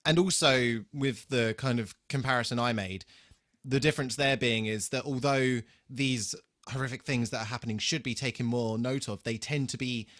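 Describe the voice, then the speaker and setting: A man's voice: mid-range voice, moderate pace, fairly bright tone, dry recording, studio quality. Sweary, jokey man chatting on a podcast. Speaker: podcast co-host. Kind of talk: casual TV episode discussion.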